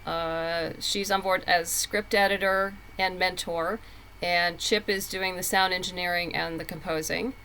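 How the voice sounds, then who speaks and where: A woman's voice: lowish voice, steady tone, a little slow, sharp tone, slight background noise, low-quality recording. A woman talking casually as an interview guest, explaining things in a rambling way with self-interruptions. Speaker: podcast guest, comics writer.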